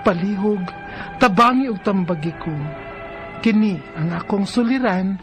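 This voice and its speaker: An actress with a Cebuano Filipino accent playing a distressed, betrayed live-in wife in a radio drama. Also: female voice, medium pitch, measured pace, over music, natural voice. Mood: troubled, earnest.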